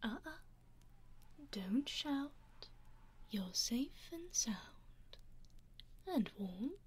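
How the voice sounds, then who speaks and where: A woman with a British accent voicing a teasing aristocratic female vampire in an ASMR roleplay, whispering softly and slyly into a binaural mic. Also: fairly high pitch, big pitch swings, extremely slow, slight background noise, full character voice. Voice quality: soft whisper, sly and mocking.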